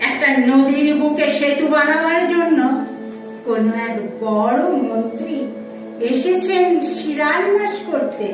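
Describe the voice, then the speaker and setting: A woman recites poetry slowly and dramatically in a high voice. Heavy echo surrounds her, and music is heard behind. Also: animated. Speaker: recitation performer. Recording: echoey, distant, music behind.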